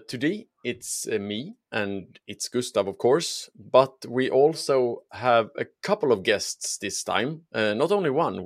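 A man's voice: mid-range voice, no room sound, studio quality. A man hosting a podcast, chatting casually and often restarting his sentences. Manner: halting, repeats words. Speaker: podcast host. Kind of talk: casual interview chat.

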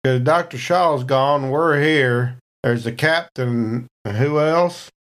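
A man's voice: mid-range voice, takes it slowly, close to an everyday voice. A man playing a folksy, sardonic, hard-drinking spaceship crewman in a tabletop game. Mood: wary, puzzled.

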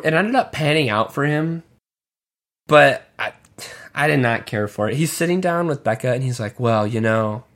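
A man's voice: medium pitch, a bit bright. A man co-hosting a casual podcast chat, blunt and opinionated, gossiping about reality TV contestants. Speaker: podcast co-host.

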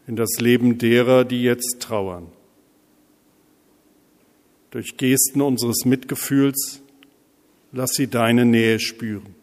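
A man leading intercessory prayer as a pastor, speaking solemnly in measured, deliberate phrases with pauses. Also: medium pitch, a little mellow. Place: large reverberant church interior. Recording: echoey church hall, long reverb.

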